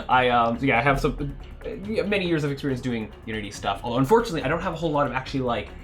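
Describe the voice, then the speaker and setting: A man's voice: mid-range voice, animated, very fast, fairly bright tone. A young man from Canada streaming his game development, chatting casually, rambling and laughing as he loses his train of thought. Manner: rambling, chatty, laughs often, trails off and restarts. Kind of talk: rambling casual livestream chat. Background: faint music.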